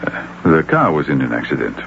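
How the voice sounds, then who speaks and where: An actor playing a courtly, measured police lieutenant in a radio drama. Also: male voice, very deep voice, wildly swooping pitch, creaky, a bit bright, heavily acted. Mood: grave, careful. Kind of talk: old-time radio drama acting. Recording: muffled, lightly noisy radio broadcast tape.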